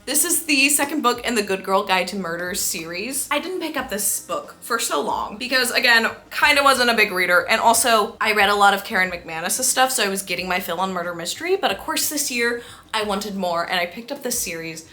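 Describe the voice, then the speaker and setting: Female book vlogger, early twenties. Bright, forward voice, mid pitch, casual and gushing delivery. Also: animated, brisk. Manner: gushing, emphatic, chatty. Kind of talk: casual chat to camera about books.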